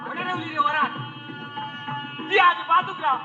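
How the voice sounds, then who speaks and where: A man's voice: very high voice, fairly even intonation, moderate pace. A man playing a fussy, impatient rural family member in a comic stage play, nagging and repeating commands in a Malvani Konkan Marathi accent. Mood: impatient, scolding. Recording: dull hall recording, loud music, long reverb.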